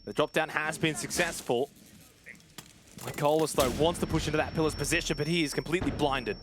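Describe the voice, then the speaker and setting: A man's voice: on the high side, steady tone, brisk. Guy casting an esports match live, calling the plays, gunfire and game noise behind him. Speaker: esports play-by-play caster.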